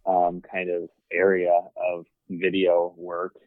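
A man's voice: low voice, monotone, takes it slowly, dry recording, narrow, tinny audio. A man guesting on a hunting podcast, talking in an easygoing, hesitant way with lots of ums and likes. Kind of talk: casual podcast conversation.

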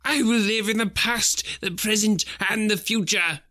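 A man voicing an old miserly gentleman who pleads in a high, strained voice. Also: normal speed. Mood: fervent. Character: old miserly man, terrified then overjoyed.

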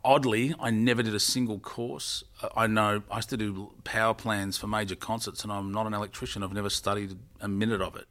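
A man's voice: on the low side, level tone, brisk, few pauses, a bit bright. A middle-aged man, telling his career story as an interview guest in a rambling, self-correcting way. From Australia. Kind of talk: casual interview talk.

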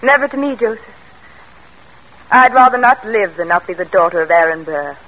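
An actress playing a passionately devoted daughter in theatrical 1940s radio drama style. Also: female voice, medium pitch, lively intonation, moderate pace, natural voice. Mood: fervent, anguished. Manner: theatrical, fervent. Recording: noisy vintage radio recording.

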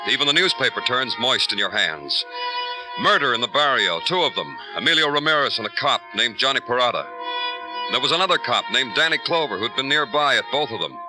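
An American man acting a weary, brooding police detective, narrating a 1950s radio drama in terse, deadpan, poetic lines. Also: medium pitch, brisk, natural voice, low-quality recording. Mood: wry, moody. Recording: vintage radio recording with music behind.

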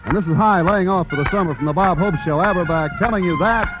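A man's voice: high-pitched, quick pace. An American man emceeing a 1940s radio variety show with showy, mock-formal jive patter. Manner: showy mock-formal convention chairman delivery. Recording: dull vintage radio recording with music. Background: loud music.

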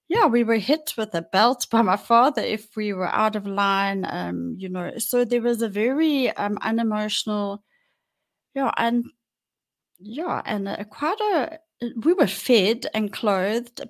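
A South African woman in her sixties, a podcast guest telling her life story frankly and bluntly, with many ums and restarts. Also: on the high side. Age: 60s. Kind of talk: candid personal storytelling.

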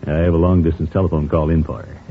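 An actor playing a pompous, sociable middle-aged uncle in a 1950s radio sitcom, theatrical and avuncular. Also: male voice, very deep voice, level tone, fast talker, slightly rough, heavily acted. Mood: pleased. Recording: tinny, noisy old radio recording.

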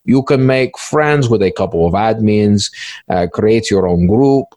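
A man acts out a droll, boastful old-hand cybercriminal for a podcast skit. The pitch swings widely as he stumbles through stilted, repetitive phrases, and the sound is dry and close. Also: animated, warm tone, no echo. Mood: scornful, emphatic. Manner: halting, repetitive, stilted grammar with drawn-out hesitations. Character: smug, cynical veteran male cybercriminal, droll and boastful.

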